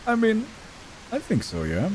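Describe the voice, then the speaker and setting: A man's voice: high voice, huge pitch swings, unhurried, background noise. A man with Finnish as his first language, streaming a game live and chatting casually as he reads out viewer messages. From Sweden. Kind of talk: casual live-stream chat while gaming.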